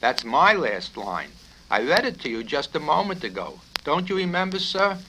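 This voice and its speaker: A dutiful, literal-minded military corporal read by a man, deferential and matter-of-fact in a satirical novel. The pitch moves in lively steps. The old record has clear noise and clicks. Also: moderate pace. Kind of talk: author's reading of a satirical novel. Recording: noisy 1970s vinyl record with clicks.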